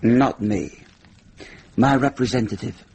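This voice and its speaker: An actor playing a genial, witty deputy sheriff in a radio drama, talking with wry banter. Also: male voice, mid-range voice, takes it slowly. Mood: wry, businesslike. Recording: noisy vintage radio drama recording.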